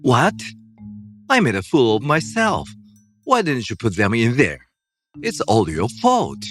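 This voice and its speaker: Man acting an arrogant husband, swinging pitch. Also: wildly swooping pitch, unhurried, fairly bright tone, soft background music. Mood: angry blaming. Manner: scornful, demanding. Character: arrogant, fault-finding husband in his thirties.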